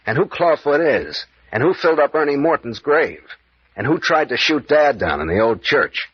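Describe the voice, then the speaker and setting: An American actor playing a bold, sardonic adventurer captain in an old-time radio serial, with needling, probing delivery. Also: male voice, on the high side, very animated, moderate pace, slightly rough. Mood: musing. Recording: muffled, echoey vintage radio recording.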